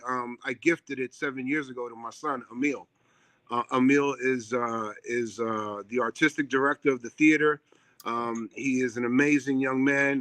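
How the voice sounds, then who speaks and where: A man's voice: medium pitch, sharp tone, no room sound. Middle-aged New York man, theater owner and chef, earnest, repeats himself, dry close sound. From Puerto Rico. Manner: earnest, repetitive, many ums and restarts. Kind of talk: casual interview chat. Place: theater seat on a live call.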